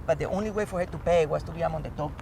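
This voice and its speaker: A man's voice: fairly high pitch, very fast. A man from Colombia with a Colombian accent and Spanish as his native language, explaining things earnestly as an interview guest. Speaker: professor and climate change expert, interview guest. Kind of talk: animated explanatory interview talk. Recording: outdoors, distant mic, clear traffic noise.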